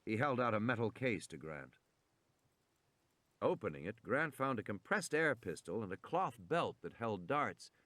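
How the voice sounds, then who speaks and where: A man reading a suspense novel as a narrator, with measured, enunciated dramatic delivery. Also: on the low side, sing-song, a bit bright, no room sound. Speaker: audiobook narrator.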